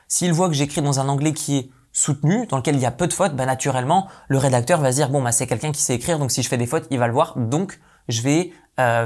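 Brisk French-speaking man explaining tools. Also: a little high, a little mellow. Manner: brisk, explanatory, repeats "et donc de ce fait". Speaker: online business coach. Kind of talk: tutorial talk to camera. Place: dim home room with plants, indoors.

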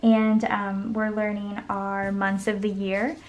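A young woman with a voice going hoarse chats casually while she decorates her planner at a desk at home. She rambles and counts under her breath as she works. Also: mid-range voice, completely flat delivery, slow pace, highs cut off. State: losing her voice. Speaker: planner vlogger. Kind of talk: casual plan-with-me chat. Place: home desk, camera overhead.

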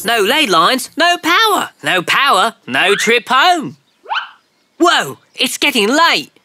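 A woman voicing a friendly, hurried green alien in a children's cartoon, quick and excitable. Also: a little high, full character voice. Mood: explaining. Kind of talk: cartoon voice acting.

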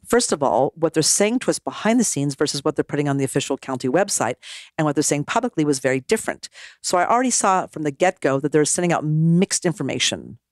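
A woman, a former mayor, telling her story as a podcast guest in an animated way, often acting out what people said. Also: low voice, fast, no echo, studio quality. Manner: animated, acts out quoted dialogue. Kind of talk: storytelling conversation.

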